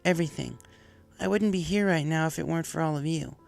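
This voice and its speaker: A man's voice: on the high side, fast talker, gravelly, soft background music, pitched above the performer's own voice. A man voicing a brash, earnest young female pegasus pony in a story reading, casual and heartfelt.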